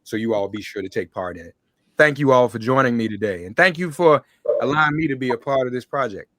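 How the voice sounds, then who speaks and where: Bright, crisp American man hosting. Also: medium pitch, animated, quick pace, no room sound. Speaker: show host. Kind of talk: conversational interview hosting.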